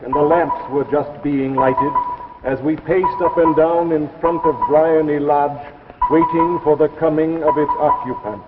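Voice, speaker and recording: Talking book machine, male actor: highish male pitch, bookish, imperious, declamatory. 1950s radio drama, phone-thin sound. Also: fairly even intonation, a little slow, narrow, tinny audio, heavy background noise, natural voice. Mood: solemn storytelling. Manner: formal, declamatory. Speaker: machine voice reading classic novels aloud. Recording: thin band-limited 1950s radio, music behind.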